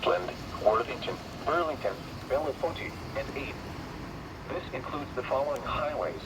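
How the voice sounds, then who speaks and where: A man's synthetic-sounding voice reading a formal, measured emergency weather bulletin through a radio speaker. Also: medium pitch, lively intonation. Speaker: weather radio warning announcer. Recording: noisy weather radio playback in a room.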